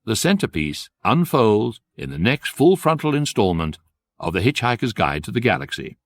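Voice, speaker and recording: An actor playing the wry, urbane, measured narrator of a guidebook in a radio comedy, with a British accent. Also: male voice, on the low side, very animated, no room sound. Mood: teasing.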